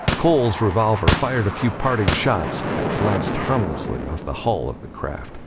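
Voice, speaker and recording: A man's voice: on the low side, noisy background. A man narrating scripted action fiction as an audiobook reader, in a dramatic storytelling style.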